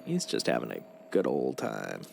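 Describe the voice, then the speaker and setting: A man's voice: extremely low pitch, wildly swooping pitch, normal speed, vocal fry. A man doing casual live gameplay commentary as a streamer, jokey and given to playful exclamations. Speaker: game streamer. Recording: very noisy, game audio behind.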